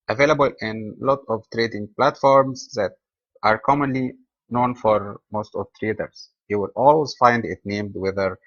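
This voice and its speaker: A man from Egypt hosts an online trading webinar, reading his lesson at a steady, even pace with slightly non-native phrasing. The recording is dry and somewhat dull, with a mid-range voice. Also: mid-range voice, dry recording. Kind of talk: scripted webinar lecture.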